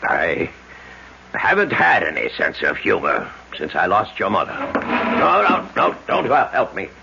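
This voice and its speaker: A muffled vintage radio drama recording of a stern American father, very low and gravelly. He is pompous and self-pitying, scolding in curt bursts that swing widely in pitch. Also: huge pitch swings, measured pace, heavily acted. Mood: wounded, self-pitying. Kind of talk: 1970s radio drama acting. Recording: muffled, noisy old radio broadcast recording.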